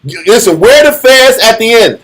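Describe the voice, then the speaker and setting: High male voice, American accent, informal preaching, colloquial, taunting, lots of rhetorical questions. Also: sing-song, moderate pace, a bit bright. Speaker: religious teacher. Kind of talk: informal sermon discussion.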